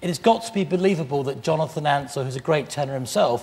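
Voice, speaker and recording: A man serving as a competition judge, explaining briskly what he is looking for. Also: a little high, darkish tone. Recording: reverberant TV studio.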